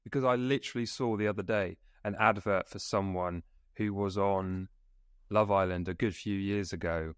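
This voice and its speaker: A young man hosts a podcast from a small room lit by coloured lights, with a low, smooth, clear voice. He sounds upbeat and playful, and the sound is dry. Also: steady tone, moderate pace, dry recording. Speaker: podcast host. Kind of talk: casual podcast interview. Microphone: video call.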